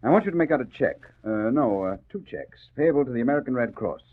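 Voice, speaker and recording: A man's voice: mid-range voice, expressive, lightly acted. An actor playing a formal, hesitant male bank boss in a 1940s radio drama. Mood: businesslike. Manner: formal, halting, hemming. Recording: tinny old radio recording.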